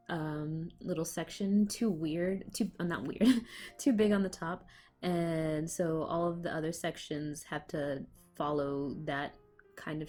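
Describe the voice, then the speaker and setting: A woman's voice: low voice, darkish tone. A woman with a cold, chatting casually with fillers and small laughs as she talks over her own painting video, recorded on a laptop mic at home. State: has a cold. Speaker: art vlogger. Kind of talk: casual voiceover for a speed paint. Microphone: laptop microphone.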